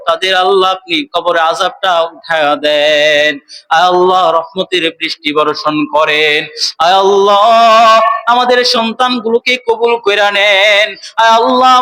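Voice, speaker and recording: High-pitched Bangladeshi man pleading in prayer. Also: sharp tone, clean tone, poor audio. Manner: pleading, tearful, drawn-out wailing calls to God. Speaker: imam leading congregational prayer. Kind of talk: emotional supplication prayer, half-chanted.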